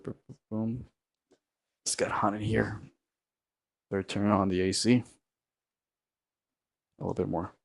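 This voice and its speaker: Male streamer, gravelly low baritone, sore throat, rambling thinking-aloud chat while animating. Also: darkish tone. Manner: rambling, thinks aloud, hums and mutters sound effects. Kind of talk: casual live-stream chat while working.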